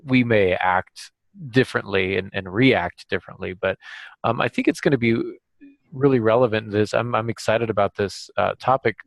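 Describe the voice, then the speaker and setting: A man's voice: on the low side, normal speed, vocal fry, no echo. A man chatting casually as a podcast co-host, with a joking, self-deprecating manner.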